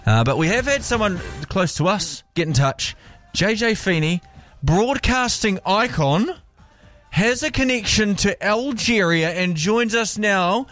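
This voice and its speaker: A man's voice: high-pitched, expressive, measured pace, a bit bright, faint music behind. A man with a New Zealand accent co-hosting breakfast radio, teasing with dry jokes. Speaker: radio host. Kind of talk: casual breakfast radio banter.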